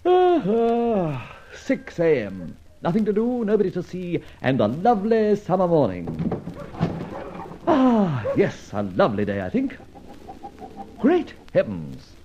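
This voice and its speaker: An actor playing a suave, breezy gentleman adventurer in an old radio serial, jaunty and clipped in his phrasing. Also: high-pitched, huge pitch swings, slow pace, lo-fi sound, noisy background, exaggerated voice. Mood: cheerful. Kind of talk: old radio serial acting with first-person narration.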